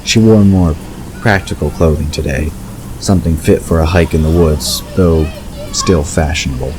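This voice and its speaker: A man narrating a scripted story in a measured storytelling delivery. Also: a bass voice. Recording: clear background ambience noise.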